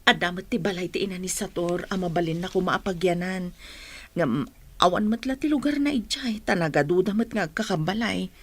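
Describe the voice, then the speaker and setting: A woman's voice: lowish voice, brisk. An actress playing a sorrowful, apologetic village mother in an Ilocano radio drama, speaking with an Ilocano accent. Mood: troubled.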